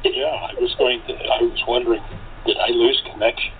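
A man chatting casually in a halting way, often restarting his phrases. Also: medium pitch, steady tone. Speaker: radio play actor. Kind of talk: casual group chat. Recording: tinny phone-like line with clear noise.

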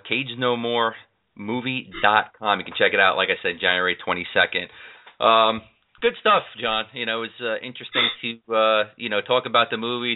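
Man, host, casual chat, rambling, low pitch, tinny phone-like audio. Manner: rambling, filler-heavy. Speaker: podcast host. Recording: tinny phone-line sound.

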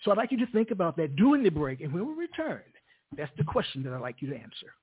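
A man hosting a radio interview, effusive and quick to laugh. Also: fairly high pitch, very animated, breathy edge. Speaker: radio show host. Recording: tinny phone-line sound.

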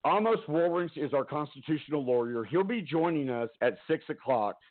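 A man hosting a casual talk stream from home, loud-voiced, rambling and chatty, often laughing, talking into a headset. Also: on the high side, animated, fairly quick, narrow, tinny audio. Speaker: talk show host.

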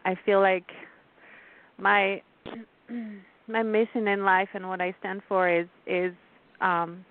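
A woman's voice: medium pitch, flat delivery, takes it slowly. Woman from france, earnest and reflective, heard over a tinny phone line. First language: French. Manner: earnest, reflective, with frequent fillers and soft laughs. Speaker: guest. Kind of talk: interview conversation.

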